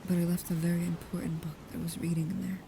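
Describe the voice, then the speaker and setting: A woman playing a guarded, protective vampire with a painful past, speaking slowly, softly and hesitantly, often in whispers, in an intimate ASMR roleplay. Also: lowish voice, level tone, background noise, close to an everyday voice. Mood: somber, confessing.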